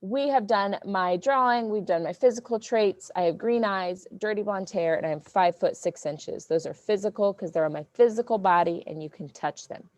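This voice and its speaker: Brief: dry-sounding woman teacher, loud and playful, keeps kids on track. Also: medium pitch, moderate pace, hardly any pauses, no room sound. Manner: loud and goofy, checks in with "okay?". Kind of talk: classroom lesson to children.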